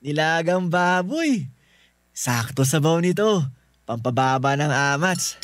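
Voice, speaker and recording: A man's voice: on the high side, sing-song, slow pace, no room sound. A man playing an affectionate, easygoing young husband in a Filipino radio drama, teasing and sweet-talking in a drawl with a Filipino accent. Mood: playful.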